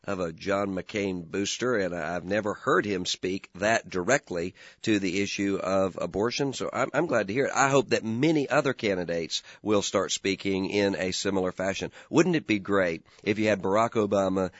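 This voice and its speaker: A male talk radio host with a hoarse, gravelly voice, pitched on the low side. He speaks earnestly and gives his views, now and then stumbling over the first word of a sentence. The sound is dry and close, a little dull. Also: normal speed, hardly any pauses, dry recording. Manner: earnest, opinionated, repeats words when starting. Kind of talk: political talk radio commentary.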